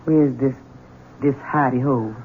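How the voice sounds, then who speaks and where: A woman's voice: extremely low pitch, measured pace, a little hiss or hum. A grave, bitter servant woman in an old radio drama. She sounds dutiful yet anxious and stern, heard through a narrow, muffled vintage broadcast. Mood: stern, subdued.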